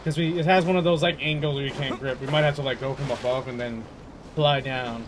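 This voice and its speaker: A man's voice: mid-range voice, fairly even intonation, brisk, background noise. A young man giving casual, slangy gameplay commentary, often talking to himself as he plays. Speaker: video game streamer. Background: game music.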